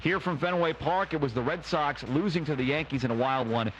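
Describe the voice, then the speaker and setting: A man's voice: on the high side, fairly quick, muffled recording. A man hosting a radio post-game show, recapping games and reading sponsor spots. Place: ballpark broadcast. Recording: clear background noise and ambience.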